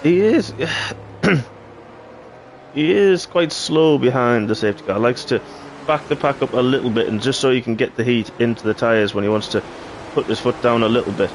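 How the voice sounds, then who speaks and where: Man, hoarse, throat-clearing, live racing commentary, mid-pitched voice, faint background hiss. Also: moderate pace. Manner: excitable play-by-play, rising sharply at incidents. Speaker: race commentator.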